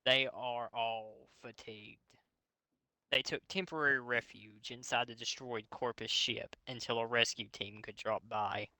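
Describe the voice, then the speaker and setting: A man reads fan fiction aloud as host and pokes fun at it. His voice is very bright and forceful, with casual swearing, and the recording is dry and close. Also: mid-range voice, measured pace, dry recording. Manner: animated, sweary, mocking. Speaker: host reading a story aloud. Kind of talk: fanfiction narration with comic, casual commentary.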